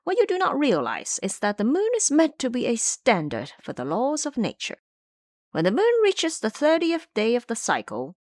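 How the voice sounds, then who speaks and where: Woman voicing a lively, lecturing monkey monk. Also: medium pitch, no echo, studio quality. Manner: didactic, emphatic. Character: clever, lecturing male monkey disciple monk.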